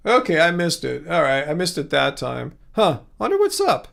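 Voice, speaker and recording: A man with a slightly raised voice and a gravelly edge chats casually on his livestream. He rambles easily through viewer comments, restarting his sentences often and chuckling along the way. He is recorded close on a studio mic at home. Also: fairly high pitch, wildly swooping pitch, moderate pace, a bit bright. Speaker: livestream host.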